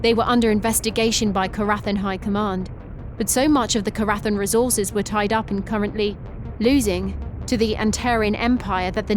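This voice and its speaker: Woman narrator, mid-pitched, plain storytelling voice over a loud music bed. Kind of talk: scripted narration.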